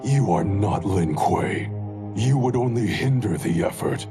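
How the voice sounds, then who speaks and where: A cold, arrogant grand master. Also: male voice, lowish voice, animated, measured pace. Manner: cold, contemptuous. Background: orchestral game music.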